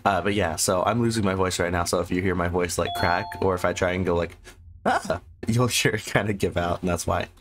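A sick, hoarse man casually commenting on a game he plays, rambling and sarcastic as he reads the game text aloud. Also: sits low, brisk. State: sick, losing his voice. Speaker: gameplay commentator. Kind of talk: casual let's-play commentary.

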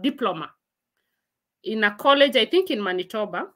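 A woman in her forties hosts a laid-back livestream from home, reading viewer comments aloud and replying between bursts of laughter. Her voice is high and bright with a forward ring, and it is picked up by a laptop mic at arm's length. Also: expressive, moderate pace. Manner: chatty, laughs often, reads comments aloud and answers them. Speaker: livestream host. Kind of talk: casual livestream chat answering viewer comments. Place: room at home, indoors.

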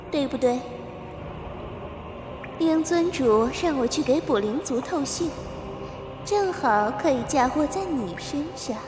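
Cruel, gloating sister, high and echoing. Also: female voice. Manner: mocking, sing-song, drawn-out taunting. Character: cruel, gloating younger sister of noble spirit blood. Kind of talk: Chinese costume audio drama acting. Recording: long echoing reverb, music underneath.

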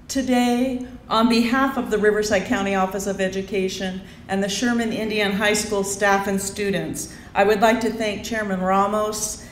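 A woman's voice: mid-range voice, fairly even intonation, normal speed, hardly any pauses, a little hiss or hum. A middle-aged woman, an education official, reading a prepared speech formally at a podium outdoors. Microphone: podium mic. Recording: echoey outdoor PA sound.

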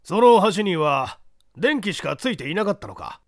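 A man's voice: high-pitched, big pitch swings, moderate pace, dry recording, studio quality. A man voicing a stern examining judge, blunt and authoritative as he interrogates in a mystery story reading. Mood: stern, commanding.

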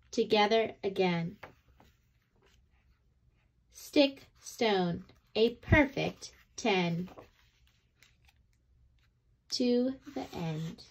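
Very slow, lively woman storyteller. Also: medium pitch, rough recording. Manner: deliberate, dramatic pauses between phrases. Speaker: read-aloud storyteller. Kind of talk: expressive children's picture book read-aloud.

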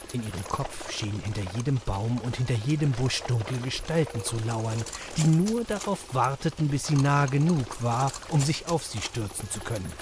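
A man's voice: mid-range voice, background noise. A man delivering scripted narration as the storyteller of a children's audio drama.

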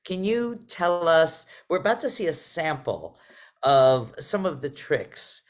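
A woman's voice: sits low, sing-song, slow. A middle-aged woman, hosting a theater talk in a conversational way over a video call. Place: indoors on a video call, virtual theater backdrop.

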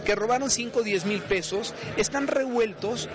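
A man giving emphatic, somewhat halting interview comments. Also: sits high, over music.

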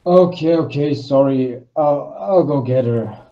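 A man's voice: mid-range voice, warm tone, natural voice. A man acting a drunk, forgetful, sheepish brother in an audio roleplay, hesitant and mumbling, on a slightly muffled recording. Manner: hesitant, mumbling, slow-witted. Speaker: guest voice actor.

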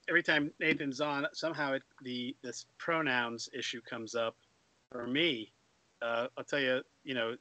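A man's voice: mid-range voice, pushed and bright, no echo, rough recording. A middle-aged man hosting a radio talk show over a video call, speaking through a headset in a rambling way with many restarts. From Florida. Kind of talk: casual video-call discussion. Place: home room.

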